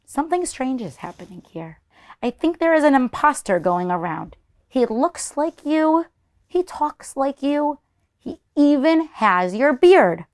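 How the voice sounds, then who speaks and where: A woman's voice: high-pitched, sing-song, measured pace, pitched above the performer's own voice. A woman voicing a kindly, chatty elderly grandmother in a children's storybook, fussing and exclaiming as she hosts. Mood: friendly, puzzled.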